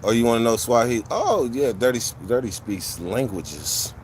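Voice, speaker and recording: A man's voice: medium pitch, animated, moderate pace, a little hiss or hum. A man from California, hosting a livestream and chatting casually with viewers in blunt, profane street slang, rambling from one story to the next. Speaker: livestream host.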